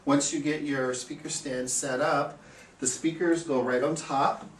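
A man's voice: fairly high pitch, fairly even intonation, a little slow. Male instructor, forties, plain step-by-step demo delivery, distant mic in a reverberant meeting room. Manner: plain, methodical step-by-step explaining. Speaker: instructor for an equipment rental company. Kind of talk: hands-on equipment tutorial. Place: small indoor meeting room. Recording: roomy echo, distant mic, background hiss.

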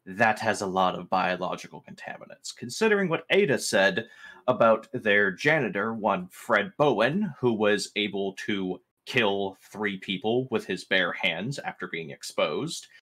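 A man's voice: fairly low pitch, expressive, unhurried, fairly bright tone. A man improvising in-character roleplay dialogue as a firm, wary spaceship captain in a tabletop game.

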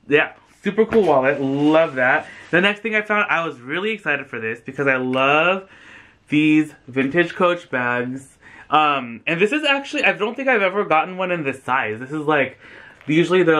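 A man's voice: on the high side, sharp tone. An American man in his thirties talking casually to the camera as a vlogger, effusive and rambling, with lots of "like". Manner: effusive, chatty, rambling with frequent "like". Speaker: vlogger and thrift reseller. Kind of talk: casual chatty vlog to camera. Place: small furnished room indoors, on a sofa.

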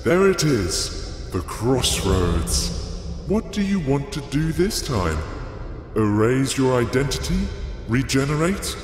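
An actor playing an enigmatic otherworldly companion in a video game, solemn, probing and theatrical. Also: male voice, medium pitch. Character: enigmatic otherworldly male companion posing a question of rebirth. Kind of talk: dramatic video game acting. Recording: echoey hall reverb, faint music behind.

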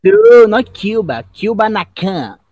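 Young male learner, headset mic, dry close sound, unhurried and playful with plenty of laughs. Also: high-pitched, huge pitch swings, dry recording, dull audio. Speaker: English student. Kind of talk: casual chat on a video call.

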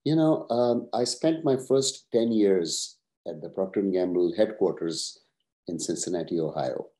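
A man in his sixties, originally from Pakistan, answering interview questions over a video call at a measured pace, with many "uh" pauses. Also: deep voice, dark tone. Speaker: guest, professor and retired executive.

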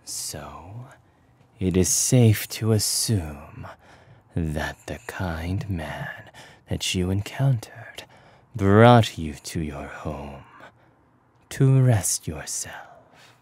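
Male ASMR storyteller, deep and heavily breathy, hushed and unhurried with long pauses. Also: lively intonation, no room sound. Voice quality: breathy, whispery. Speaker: voice actor narrating an audio roleplay. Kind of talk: whispered ASMR storytelling, scripted narration.

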